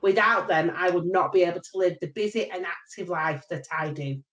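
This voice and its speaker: A woman's voice: fairly low pitch, a little fast, recorded from a distance. A woman whose first language is British Sign Language speaks through a communication aid with a synthesized voice, reading a prepared statement on a panel call. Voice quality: even, synthetic delivery. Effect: synthesized speech from a communication aid. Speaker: panel participant. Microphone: video call. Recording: roomy echo.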